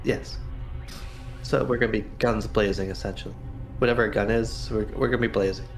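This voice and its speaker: Guy with a fair bit of vocal fry roleplaying at a game, casual and wry, faint music. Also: lowish voice, steady tone, brisk. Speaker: tabletop game player.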